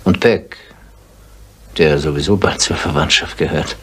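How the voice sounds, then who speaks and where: An actor playing a middle-aged farmer in a 1970s radio crime drama, hesitant and politely evasive. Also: male voice, very deep voice, measured pace, fairly bright tone. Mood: guarded, polite. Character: indebted village farmer, evasive, slyly polite neighbour. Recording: faint hiss on old radio recording.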